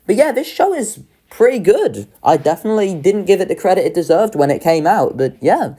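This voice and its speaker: A woman's voice: on the low side, big pitch swings, brisk, warm tone, breathy edge. A woman giving casual, opinionated video commentary as a host, informal and sardonic in manner. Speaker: video host ranking children's shows.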